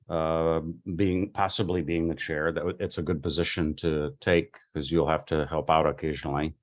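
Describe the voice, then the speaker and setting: A man chairing a local government meeting in a halting, informal, polite way. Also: sits low. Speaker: commission chair.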